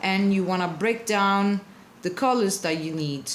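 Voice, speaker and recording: A woman's voice: medium pitch, measured pace, a little hiss or hum. A woman with a non-native English accent giving a casual art tutorial, chatty and apologetic, often searching for words. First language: not English. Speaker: card-altering artist.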